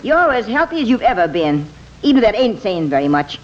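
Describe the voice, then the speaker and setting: An actress playing a plain-spoken, exasperated but warm-hearted American small-town woman in an old-time radio comedy. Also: medium pitch, big pitch swings, brisk, close to an everyday voice. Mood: indignant, exasperated. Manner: plain-spoken, folksy, dramatic. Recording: dull vintage radio recording.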